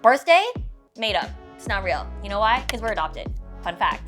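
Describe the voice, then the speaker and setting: A woman's voice: medium pitch, expressive, normal speed, a bit bright. A woman of 29 with a Canadian accent, chatting casually and informally to followers with emphatic, self-mocking asides. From Toronto area, Canada. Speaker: fitness content creator and vlogger. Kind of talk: casual rapid-fire Q&A chat to followers. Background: background music.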